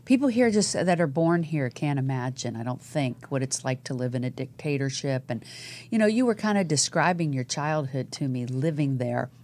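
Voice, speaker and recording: A woman hosting a talk radio interview show, upbeat and chatty, often pausing on "uh" between thoughts. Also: rumbling low, lively intonation. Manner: upbeat, warm-toned interjections, frequent filler "uh".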